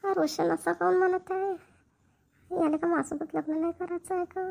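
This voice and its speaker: A woman's voice: extremely high pitch, steady tone, fairly quick, creaky, darkish tone. A woman voicing an anxious, lovestruck young bride-to-be in a cartoon comedy, wistful and musing. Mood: wistful, worried. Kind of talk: amateur cartoon family comedy dialogue.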